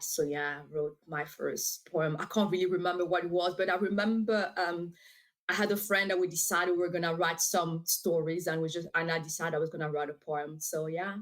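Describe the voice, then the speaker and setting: Animated woman from DR Congo. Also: lowish voice. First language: French. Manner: animated and talkative, with frequent fillers and laughs. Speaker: author and guest. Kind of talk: casual interview.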